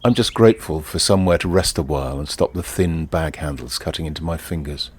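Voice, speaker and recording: An actor playing a wry, easygoing ordinary bloke who confides in the listener in a leisurely first-person monologue. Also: male voice, rumbling low, a little fast, darkish tone, breathy edge. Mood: wry, amused. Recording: outdoor ambience with birdsong behind. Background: birdsong and outdoor ambience.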